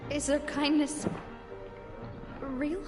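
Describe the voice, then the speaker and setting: A woman's voice: sits high, level tone, extremely slow. An actress playing an earnest, resolute young woman who leads as boss, in a dramatic video game style. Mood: reflective, wounded. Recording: music behind the voice.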